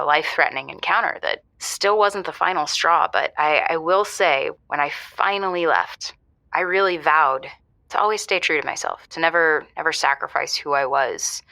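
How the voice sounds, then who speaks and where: A woman playing a sincere, soul-searching radio show caller who speaks deliberately, pausing and restarting in improvised audio comedy. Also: sits low, creaky, pushed and bright, no room sound, full character voice. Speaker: returning caller.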